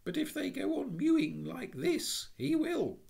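An eager little girl pleads in a high, breathless voice, as a man puts her on. Her pitch leaps far above his own and swings wildly. She sounds kind and earnest. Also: sing-song, a little slow, creaky, a little mellow, heavily acted.

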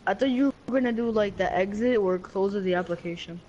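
A young boy in elementary school chats over a game's voice chat, quick to giggle and often tripping over his words. A faint hiss sits behind his voice. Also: high-pitched, darkish tone, muffled recording. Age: child. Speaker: online game player. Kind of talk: casual voice chat while gaming.

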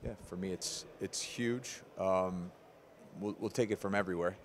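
A man in his forties, a head coach, giving a measured, analytical postgame interview with frequent ums, into a handheld mic in an arena corridor. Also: sits low, fairly even intonation, slight background noise.

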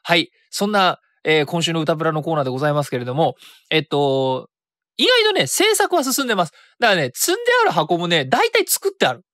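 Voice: medium pitch, sing-song, fast, a bit bright, dry recording, studio quality. A radio host chatting casually and solo about a hobby, thinking aloud and self-correcting along the way.

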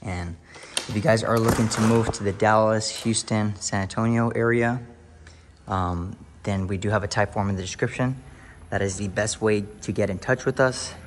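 Dude, lowish voice, hyped, chatty house-tour vlog on a phone. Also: male voice, steady tone, normal speed, slight background noise. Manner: upbeat, hyped, talks to viewers as y'all. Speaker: realtor touring a house. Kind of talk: casual house-tour vlog turning to preaching. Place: empty new house, then its covered back patio.

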